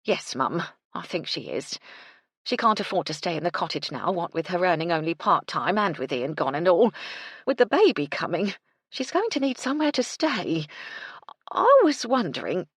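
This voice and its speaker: A woman's voice: fairly low pitch, very animated, normal speed, husky, no echo, clean studio sound, full character voice. A woman reading a fretful, homely older housekeeper, deferential and flustered. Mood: worried.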